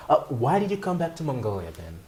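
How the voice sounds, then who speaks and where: Very low-voiced woman interviewer, brisk. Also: sing-song, dark tone. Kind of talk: casual interview questioning.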